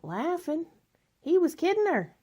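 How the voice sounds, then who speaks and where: A woman's voice: very high-pitched, very animated, unhurried, a little mellow, heavily acted. A woman acting in a radio drama as a lonely, faded spinster who likes her wine, wistful, bitter and self-pitying.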